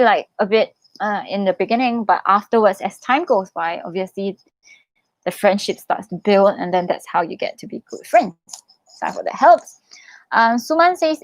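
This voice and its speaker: Young woman doing a casual live q&a, chatty n expressive, reassuring, medium pitch, dry sound no echo. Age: young adult. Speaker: livestream host, international student in Korea. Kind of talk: casual live Q&A, reading out viewer questions.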